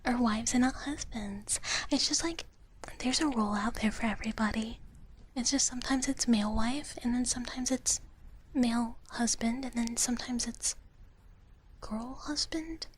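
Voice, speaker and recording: A woman speaking in a soft, breathy whisper close to the microphone, chatting casually and playfully as a livestream host and often repeating her phrases. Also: fairly high pitch, normal speed, slight background noise. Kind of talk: live ASMR whispering, casual chat with viewers. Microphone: close ASMR microphone.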